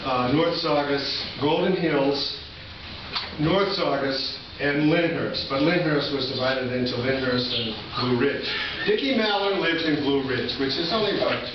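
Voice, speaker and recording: A man's voice: mid-range voice, measured pace, highs cut off. An older man from Massachusetts, giving a live poetry reading with informal, reminiscing asides. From Massachusetts, USA. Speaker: poet. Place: indoor college reading venue, recorded from a distance. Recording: distant, echoey room recording with noticeable noise.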